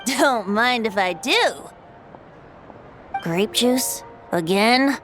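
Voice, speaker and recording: High, animated, courteous game companion. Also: female voice, drawn-out words, exaggerated voice. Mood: playful. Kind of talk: video game voice acting. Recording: music behind the voice.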